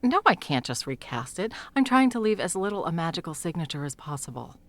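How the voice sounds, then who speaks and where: A woman playing a sardonic, foul-mouthed sound mage in an intimate fantasy audio roleplay, talking sarcastically and emphatically with a creaky edge. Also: on the low side, very animated, a little fast, fairly bright tone. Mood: exasperated, fond. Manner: sarcastic, emphatic, swearing freely. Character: sardonic, foul-mouthed female sound mage, guiltily helping an old friend.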